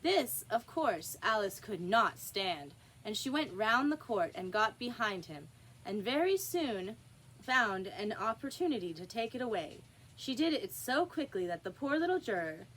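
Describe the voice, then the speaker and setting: A woman reading a children's classic aloud as an expressive storybook narrator. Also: mid-range voice, normal speed, slight background noise, low-quality recording. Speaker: storybook reader.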